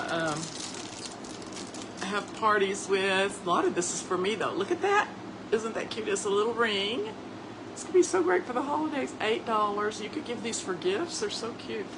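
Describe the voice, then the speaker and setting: A hoarse woman chats easily and warmly, talking to her audience as if they were her own relatives. Her delivery is loose and conversational, with homespun asides. The audio has a clear layer of background hiss. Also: mid-range voice. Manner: chatty, folksy, addresses listeners as family. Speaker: host. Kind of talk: casual livestream chat.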